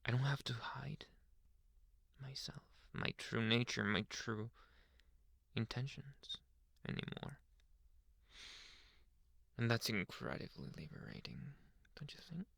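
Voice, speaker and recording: A man voicing a young alpha werewolf, tender yet menacing and possessive, in an intimate ASMR roleplay that drifts between halting murmurs and whispers. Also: medium pitch, animated, vocal fry, a bit bright, breathy edge, no echo, exaggerated voice. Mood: wistful, confiding. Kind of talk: ASMR roleplay addressed to the listener.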